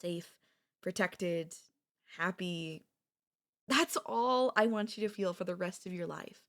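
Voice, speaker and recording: Woman acting a low-voiced obsessive yandere sweetheart. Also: slow. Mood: pleading. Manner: soft-spoken, intimate, pleading, clingy. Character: obsessive, possessive young woman, kidnapper and doting childhood friend. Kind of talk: ASMR audio roleplay.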